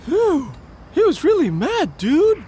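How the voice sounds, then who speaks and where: Cartoon boy sidekick: chatty, slangy, high voice that swings up and down. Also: wildly swooping pitch, slow, warm tone, slight background noise, exaggerated voice. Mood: rattled. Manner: slangy, exclamatory. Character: big, easygoing boy, a chatty loyal pal. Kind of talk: English-dubbed cartoon acting.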